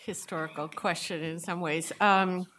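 An older woman answering a question on a panel in a measured, thoughtful way, speaking into a table mic in a large lecture hall. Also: lowish voice, fairly even intonation, a bit bright, slight background noise. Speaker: veteran panelist.

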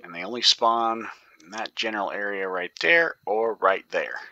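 Male gamer, low-pitched but very bright tone, casual commentary that slips into whispers. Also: normal speed, no room sound, dull audio. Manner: thinking aloud, often dropping to a whisper. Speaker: gaming YouTuber.

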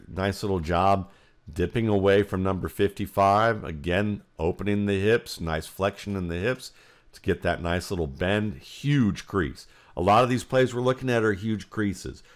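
A man with an American accent and a deep voice breaks down sports footage. He talks in an explanatory way, working out his analysis aloud as the play runs. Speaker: football film analyst. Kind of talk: play-by-play film breakdown commentary.

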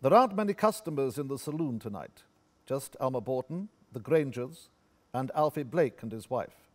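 A man's voice: medium pitch, animated, normal speed. A man reading scene-setting narration from a script at a staged play reading. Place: theatre stage.

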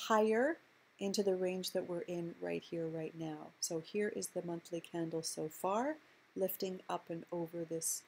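A woman hosting a screen-recorded stock chart walkthrough, explaining in a measured way with frequent ums and uhs. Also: lowish voice, a little mellow, breathy edge. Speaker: stock chart analyst host. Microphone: voice-over on screen recording.

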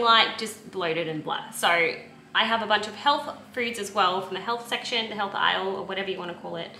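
A woman's voice: medium pitch, pushed and bright. A young Australian woman chatting casually and rambling a little, filmed in her home kitchen by a camera on the counter. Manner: chatty, rambling with self-corrections. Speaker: vlogger. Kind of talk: casual grocery haul chat. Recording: echoey kitchen with background noise.

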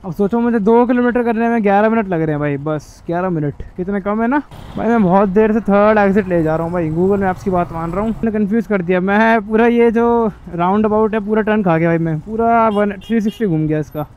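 A man's voice: high-pitched, brisk, smooth, highs cut off. A man, chatting casually and informally to viewers as a motovlogger, often grumbling like he would to buddies. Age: 20s. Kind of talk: casual chat to camera. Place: on a motorbike in city streets, later inside a small helmet shop. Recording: noisy street and traffic sound. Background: traffic and vehicle noise.

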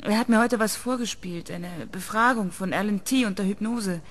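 A curious young journalist, voiced by a woman in a radio play, with a middle-pitched voice. She asks questions in a plain, matter-of-fact way and stays guarded. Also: moderate pace, natural voice. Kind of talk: radio drama acting.

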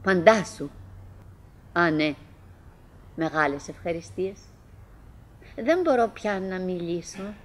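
An actress playing a chatty middle-aged wife in a theatrical radio drama monologue, halting and musing, by turns coaxing and murmuring, wistful, fretful and forcedly cheerful. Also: female voice, lowish voice, animated. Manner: halting, musing, self-interrupting, swinging between coaxing and murmuring.

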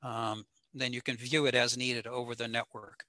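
A man with a bright, forward voice gives an informal technical presentation. His delivery is plain-spoken and matter-of-fact. The sound is dry and close, with very little room in it. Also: mid-range voice, level tone, moderate pace, no echo. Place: indoor home room, over a video call.

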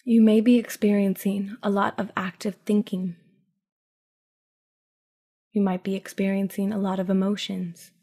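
Soft, mellow woman guiding sleep meditation. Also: mid-range voice, flat delivery. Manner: soothing, hushed, unhurried with long pauses. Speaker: guided meditation narrator. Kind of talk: guided sleep meditation, scripted narration.

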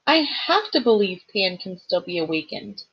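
Woman putting on a young male satyr: earnest, plaintive, gently husky, on a dull lo-fi recording. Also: mid-range voice, big pitch swings, normal speed. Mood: somber.

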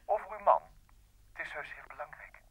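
An actor playing a smooth, talkative young private secretary and blackmailer, theatrically polite, in vintage radio drama style. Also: male voice, a little high, lively intonation, fairly quick, fairly bright tone, a little hiss or hum, rough recording. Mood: insinuating. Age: young adult. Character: smooth, sly thirty-year-old New York private secretary, a blackmailer. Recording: old radio play recording with music.

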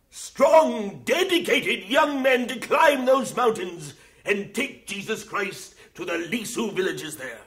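An earnest British mission superintendent, a fervent preacher with a British accent. His voice sits high and bright and swings widely in pitch as he pleads. It is a studio radio drama. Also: full character voice.

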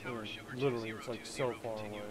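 A man's voice: fairly low pitch, fairly even intonation, quick pace. A man in his thirties chatting casually and slangily as he streams a flight simulator game from a home room. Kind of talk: casual livestream chat while playing a flight simulator. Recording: engine-like game noise behind voice.

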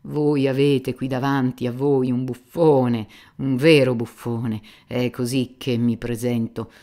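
A garrulous aging buffoon, as an audiobook reader puts him on, declaiming loudly with self-mocking pathos. He rambles from one anecdote to the next, his voice pushed a little higher than the narration and full of showy bluster. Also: little pitch movement, slow pace. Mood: theatrical. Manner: loud, theatrical, rambling storytelling. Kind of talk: audiobook character reading.

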